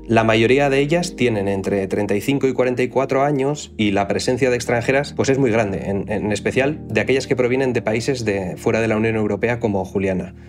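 A man's voice: on the low side, brisk, few pauses, faint music behind. A man working as an economics reporter, giving careful, explanatory interview answers with some fillers.

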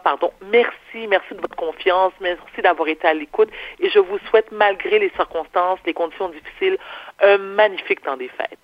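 A woman's voice: fairly low pitch, no echo. A woman with a Quebec French accent speaking assertively as a radio columnist, chatting casually and repeating phrases for emphasis. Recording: dull, lo-fi phone or remote link.

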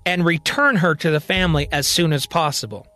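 A man's voice: fairly high pitch, fairly quick, sharp tone. A male storyteller voicing a blunt, exasperated woman in charge in an audio drama. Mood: explaining.

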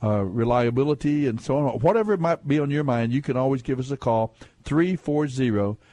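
A man's voice: medium pitch, brisk, dark tone, no room sound. Male call-in radio host, folksy and rambling, dry close mic. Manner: rambling, folksy, restarts and fillers, laughs easily. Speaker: radio quiz show host. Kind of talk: casual call-in radio talk.

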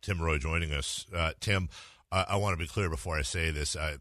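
A man with an extremely deep voice, dry and free of echo. He hosts sports talk conversationally, working up to each point in deliberate, halting steps. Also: steady tone, a bit bright. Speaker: sports radio host.

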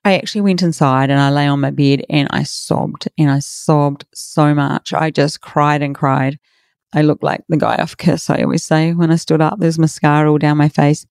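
A middle-aged woman hosts a motivational podcast, her voice raspy and hoarse. She talks in an earnest, encouraging way, her tone mellow and warm. The recording is dry and close, with no room sound. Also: low-pitched, fairly even intonation, moderate pace, dry recording. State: hoarse from a workshop. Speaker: podcast host and coach.

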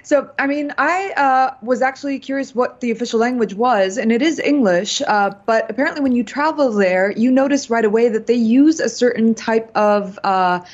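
A woman's voice: fairly high pitch, moderate pace, few pauses, muffled recording. A woman reporting in a radio chat segment, explaining at length with many fillers.

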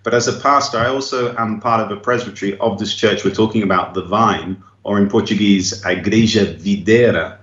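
A man's voice: fairly low pitch, fairly bright tone. Earnest Englishman on a roomy, muffled line. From England. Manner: earnest, reflective, chuckles while talking. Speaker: missionary pastor. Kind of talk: remote interview.

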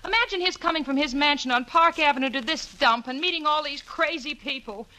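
A woman's voice: sits high, brisk, sharp tone. A woman playing an exasperated, sensible young roommate with dry sarcasm in 1940s radio comedy. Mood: furious, exasperated. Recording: noisy 1940s radio recording.

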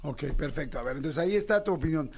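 A man's voice: a little high, expressive, quick pace, darkish tone. A man hosting a radio talk show, joking with his guests and pushing them to speak up. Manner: jokey, prodding, laughs often. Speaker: radio host.

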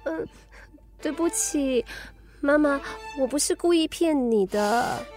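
An earnest teenage daughter in a radio drama, with a high, youthful voice. She is hesitant and pleading yet stubborn, with faint music behind her. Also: expressive, takes it slowly, a little mellow. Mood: flustered, pleading. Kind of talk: Mandarin radio drama acting.

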